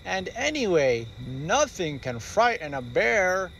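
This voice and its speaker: Man playing a blustering, animated daddy bear. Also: sits high, slow, slight background noise, voice pushed up, heavily acted. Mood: boastful, reassuring. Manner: blustering, theatrical. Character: boastful father bear, secretly cowardly, storybook.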